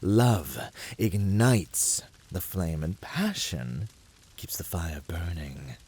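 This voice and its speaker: Casting: male actor as flamboyant incubus, teasing and dramatic, slow-paced, wildly swooping pitch. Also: very animated, a little mellow, breathy edge, heavily acted. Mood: passionate. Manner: teasing, dramatic, flirtatious delivery. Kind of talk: scripted flirty ASMR roleplay.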